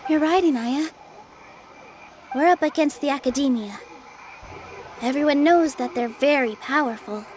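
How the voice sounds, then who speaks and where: A woman voicing a gentle young female dancer in a video game, modest, sincere and determined. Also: high-pitched, normal speed, pauses often, natural voice. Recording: faintly noisy game capture.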